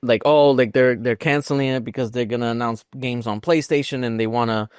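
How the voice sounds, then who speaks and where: A man's voice: mid-range voice, fairly even intonation, a little fast, a little mellow, clean tone, no echo, clean studio sound. A man co-hosting a casual gaming podcast, talking in a rambling, opinionated way peppered with "like". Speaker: podcast co-host.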